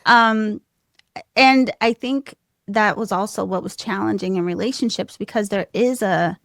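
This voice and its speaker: Soft-voiced woman, candid and laughing. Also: mid-range voice, unhurried, no room sound, studio quality. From United States. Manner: laughs often, animated and self-deprecating. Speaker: podcast guest. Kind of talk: candid conversational storytelling.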